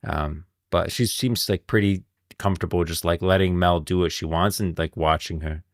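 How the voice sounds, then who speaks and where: A man's voice: low voice, steady tone, normal speed, dry recording, clean studio sound. A man giving casual, opinionated live-stream commentary, thinking aloud with emphasis.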